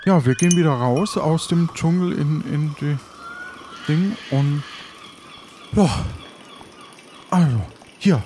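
A man's voice: fairly high pitch, takes it slowly, pauses often, warm tone, a little hiss or hum. A man playing a polite pirate adventurer with a hesitant, rambling way of talking in an improvised adventure audio play. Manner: hesitant, rambling, repeating words.

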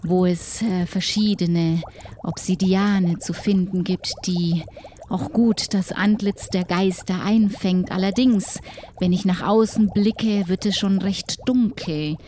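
A woman acts out a solitary, mysterious keeper of ghosts in a tabletop roleplay. She talks very slowly and deliberately in a soft, dark tone with formal, old-fashioned wording. Faint noise sits behind her. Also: mid-range voice, lightly acted. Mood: bargaining. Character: solitary mysterious hut-dwelling woman guarding pirate ghosts.

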